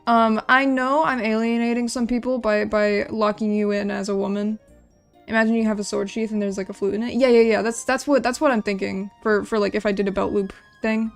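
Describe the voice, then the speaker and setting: A woman with a noticeably creaky, fry-laden voice chats casually on a livestream. She rambles informally as she works, repeating little phrases while she talks to her chat. Light music plays quietly behind her. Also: medium pitch, steady tone, brisk. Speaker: livestreamer drawing and designing game characters.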